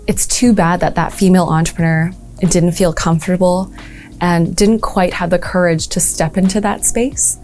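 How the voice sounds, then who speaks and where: A woman's voice: fairly low pitch, a little mellow. A woman from Malaysia talking earnestly about her work supporting entrepreneurs, with frequent ums and uhs. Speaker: entrepreneurship program leader.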